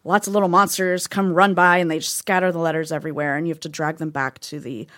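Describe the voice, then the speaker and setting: Woman, podcast host, fairly low voice, upbeat, detailed explainer. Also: flat delivery, quick pace, a bit bright. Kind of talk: casual chat. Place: recording studio.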